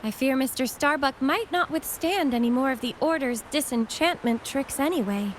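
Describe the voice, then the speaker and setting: A woman voicing an earnest, kindly young healer of a religious order in a video game, speaking gently. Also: high voice, expressive, moderate pace, background noise. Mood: thoughtful, concerned.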